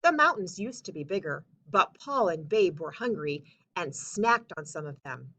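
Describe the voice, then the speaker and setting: Female storybook reader: bright, very animated, expressive, children's read-aloud style. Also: on the low side, normal speed.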